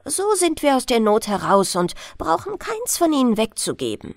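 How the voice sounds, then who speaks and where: A woman's voice: high voice, huge pitch swings, normal speed, creaky, dry recording, clean studio sound, exaggerated voice. A woman voicing a sly, practical, persuasive woodcutter's wife in a fairy-tale audiobook. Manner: cunning, persuasive.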